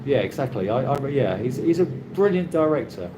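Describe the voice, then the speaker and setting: A British man talks casually on a live stream, his voice rising and falling in a lively way. He rambles and restarts his sentences often. Steady noise from the surroundings runs under his voice. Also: medium pitch. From Britain. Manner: rambling, halting, with many restarts. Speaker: livestream host reading viewer comments. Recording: noisy ambient background.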